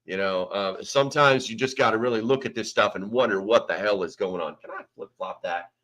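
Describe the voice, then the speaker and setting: American man host, bright rambling chat. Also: fairly low pitch, fairly quick. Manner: rambling, reads chat names aloud. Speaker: livestream host. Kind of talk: casual livestream chat. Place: sparse, nearly empty room. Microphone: small desk microphone.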